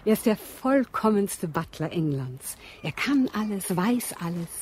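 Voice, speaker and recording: An actress playing a kindly, scatterbrained woman who runs a home for wayward girls in a radio drama; she speaks earnestly and gets gently flustered. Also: medium pitch, big pitch swings, measured pace, slight background noise.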